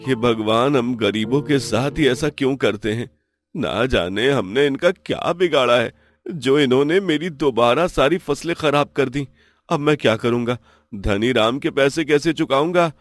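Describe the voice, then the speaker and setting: A man's voice: medium pitch, normal speed, no room sound, faint music behind, lightly acted. A man playing an honest, poor village farmer who pleads and laments in a moral story. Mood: despairing.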